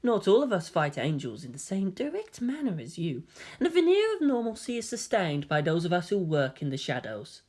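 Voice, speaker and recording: A woman voicing a gentle, elegant teenage boy in a story reading, soft-spoken, polite and earnest. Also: fairly low pitch, huge pitch swings, a little fast. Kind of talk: dramatic fan fiction character reading.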